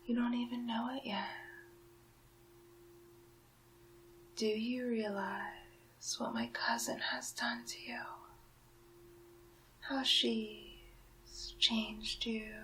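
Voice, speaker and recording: Woman acting as a medieval witch, soft ASMR whispering, extremely slow pacing, teasing and possessive, theatrical, slight background noise. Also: fairly high pitch, poor audio. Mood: conspiratorial. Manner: hushed, drawn-out, teasing, theatrical. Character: sly, possessive medieval witch holding a captive huntsman.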